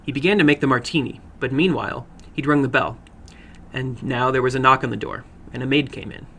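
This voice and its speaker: A man narrates horror fiction in a dramatic read. His voice has a crisp, bright edge and plenty of creak, at a middling pitch. Faint noise sits behind him. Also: fairly quick.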